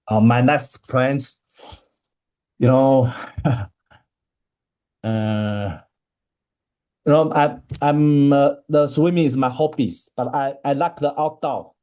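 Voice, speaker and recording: Slow, halting man with a Chinese accent. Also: mid-range voice, warm tone. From China. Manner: halting, searching for English words. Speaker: interview guest, marathon swimmer. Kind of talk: casual interview answers.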